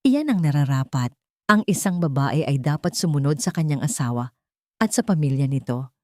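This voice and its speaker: A woman's voice: low-pitched, lively intonation, normal speed, no echo. A woman with a Filipino accent voicing an arrogant, domineering older mother-in-law in a drama story, haughty and smug.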